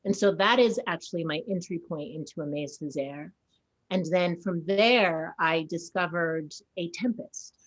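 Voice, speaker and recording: Low-voiced Haitian-born woman, earnest. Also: rough recording. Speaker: theater director and actor. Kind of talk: panel conversation.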